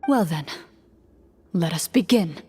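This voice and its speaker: An actress playing a composed young woman hunter who narrates as game master in a measured, formal, serious manner. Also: fairly low pitch, expressive, warm tone, husky, full character voice. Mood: grave, low. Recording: long reverb, music underneath.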